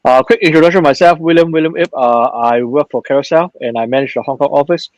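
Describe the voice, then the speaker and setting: A man speaking as a panelist in an online chat, halting, with many restarts and fillers, and a joking tone. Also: a little high, no echo. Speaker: panelist, company managing director. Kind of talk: casual panel talk.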